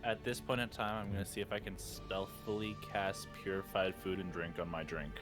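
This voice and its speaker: A man's voice: sits low, steady tone, fairly quick, over music. A man casually improvising as a tabletop game player, informal and jokey, swearing now and then. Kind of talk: casual improvised roleplay chat.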